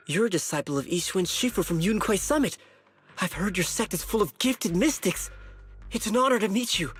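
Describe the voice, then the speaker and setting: An actor playing an earnest, dutiful young martial arts pupil in a video game, formal and deferential. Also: male voice, sits high, lively intonation, a little fast, fairly bright tone. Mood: respectful.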